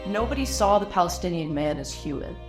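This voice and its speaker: A woman from Lebanon, an artist, speaking in a documentary interview, reflective and halting, often restarting her sentences. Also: on the low side, animated, music in the background.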